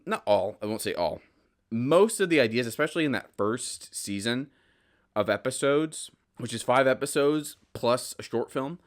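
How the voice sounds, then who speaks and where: A man hosting a solo podcast in casual, rambling talk, often correcting himself and drifting into asides. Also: fairly low pitch. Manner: self-correcting, digressive, conversational. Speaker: podcast host.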